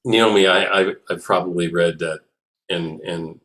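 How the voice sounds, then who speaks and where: A man hosting a conversation, responding reflectively in halting phrases with repeated words. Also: sits low, steady tone, fairly bright tone. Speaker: host of the conversation.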